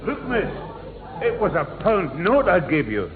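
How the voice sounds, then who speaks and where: A man's voice: high voice, very animated, measured pace, phone-quality sound, a crowd in the background. A man playing a hesitant, grumbling old grandfather with a Northern English accent in a 1960s radio sitcom. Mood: flustered. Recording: thin, noisy old radio broadcast.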